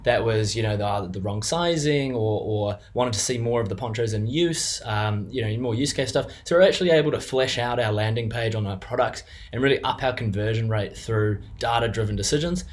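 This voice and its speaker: A man's voice: fairly low pitch, fairly quick, hardly any pauses. A younger man with a New Zealand accent, a business co-owner giving an unscripted client testimonial, hesitant with many fillers and restarts.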